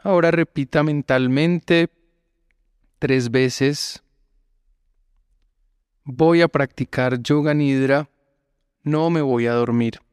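A man gives calm, measured guided meditation instructions as a yoga teacher. His delivery is very slow, with long pauses between phrases. The voice is smooth and clear, recorded close and dry. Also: fairly high pitch, no echo.

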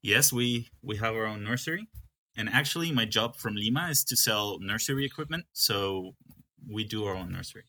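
A man's voice: fairly low pitch, lively intonation, moderate pace, bright, forceful tone. A young man from Peru who manages a coffee farm, talking in a casual interview and often stopping to restart his sentences. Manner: hesitant, with many restarts.

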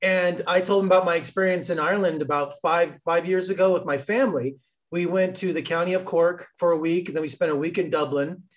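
High-voiced American interviewer. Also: male voice, steady tone, fast talker. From Las Vegas. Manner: friendly, rambling storyteller. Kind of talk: casual interview.

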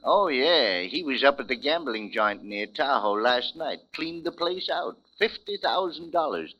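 An actor playing a cynical, theatrical boozer sidekick with an American accent, teasing and grandiloquent in 1940s radio style. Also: male voice, medium pitch, expressive, measured pace. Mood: mocking, teasing. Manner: mocking, grandiloquent. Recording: muffled lo-fi 1940s radio recording.

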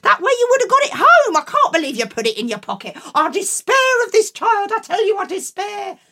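A woman voicing an excitable, scolding mother in a children's story, shrill and exaggerated. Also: brisk, exaggerated voice. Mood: exasperated.

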